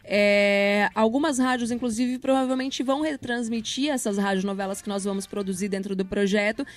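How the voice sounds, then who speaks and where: A Brazilian woman giving casual interview answers, explaining things in an informal, slangy way as a project leader and teacher. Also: fairly high pitch.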